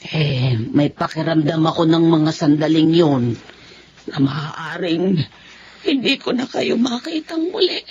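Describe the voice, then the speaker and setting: An actress playing a weary, tender older sister in a Filipino radio drama, speaking plaintively with a Filipino accent. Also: female voice, deep voice, animated, unhurried, crowd noise. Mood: earnest recollection. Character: long-lost older sister, weary, tender, once a runaway. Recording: muffled, noisy radio broadcast.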